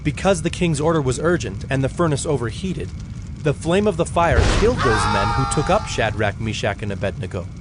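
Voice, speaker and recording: A man narrating a dramatized Bible story in a measured, solemn storytelling manner. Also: mid-range voice, expressive, over loud music.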